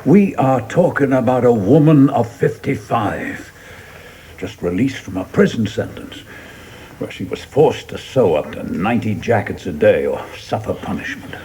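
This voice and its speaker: An actor playing a principled, troubled government secretary in a radio play, speaking earnestly and haltingly. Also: male voice, fairly low pitch, lively intonation, dark tone, close to an everyday voice. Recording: clearly noisy background ambience.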